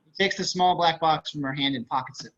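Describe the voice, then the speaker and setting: Bright, highish male script narrator. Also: fairly quick. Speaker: narrator of stage directions. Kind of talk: scripted narration at amateur table read. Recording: dull video-call audio.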